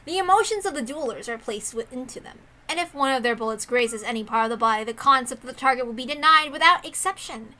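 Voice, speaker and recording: A woman's voice: sits high, expressive, fast, hardly any pauses, sharp tone. A woman reading visual novel text aloud expressively as a streamer, with casual asides in between. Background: game soundtrack music.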